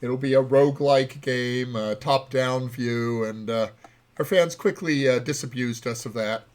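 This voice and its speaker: A man's voice: mid-range voice, fairly even intonation, a little slow. A man chatting casually and playfully as he co-hosts a livestream about game development. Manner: playful, punning.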